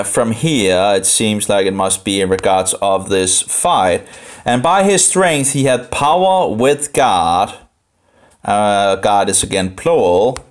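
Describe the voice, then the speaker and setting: A Danish man with a Danish accent thinks aloud through informal Bible commentary, halting and rambling as he repeats phrases. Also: lowish voice, clear, resonant tone. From Denmark. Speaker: live streamer studying the Bible.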